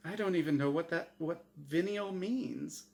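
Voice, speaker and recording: A man doing a meek, high-pitched bewildered fellow. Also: a little slow, creaky, darkish tone. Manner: plaintive, questioning, protesting. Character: meek, bewildered, anxious recently dead man. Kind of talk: storybook character voice in dramatic reading.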